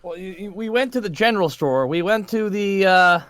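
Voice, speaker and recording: A man's voice: sits high, expressive, moderate pace, fairly bright tone, smooth, no echo. A man playing a tabletop game, bantering casually, repeating himself and rambling in and out of character.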